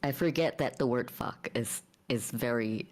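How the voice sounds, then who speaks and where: Very deep-voiced, slow-talking woman. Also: darkish tone. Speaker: tabletop game player. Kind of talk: casual chat and roleplay narration.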